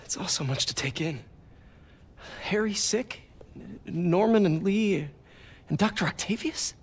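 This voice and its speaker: An animated young American superhero. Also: male voice, high-pitched, measured pace, husky, exaggerated voice. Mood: weary, guilty. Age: young adult. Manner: earnest, conversational. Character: young male superhero, earnest, guilt-ridden, quippy. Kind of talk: cinematic video game acting.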